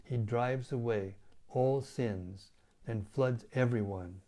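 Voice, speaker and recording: Hoarse man reading devotional scripture. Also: medium pitch, warm tone. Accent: British English. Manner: devotional, measured reading with self-corrections. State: losing his voice, hoarse. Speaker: spiritual teacher reading scripture.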